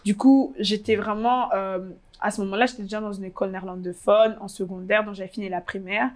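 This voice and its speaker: A young woman from Congo, in her twenties, talks through her memories in a relaxed interview. She hesitates often, filling pauses and restarting her sentences, in a mid-range voice. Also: mid-range voice. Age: 20s. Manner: hesitant, many fillers and restarts. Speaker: interviewee, fashion blogger. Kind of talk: casual interview chat.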